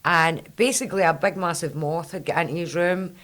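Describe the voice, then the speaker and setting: A woman in her thirties with a Scottish accent and a low husky voice, hosting a podcast and telling animated stories while swearing freely. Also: brisk. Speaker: podcast host. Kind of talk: casual chat.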